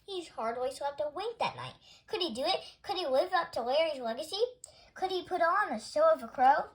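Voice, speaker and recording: A woman reading a children's picture book aloud as expressive storytime narration. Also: high-pitched, normal speed. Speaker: storytime reader.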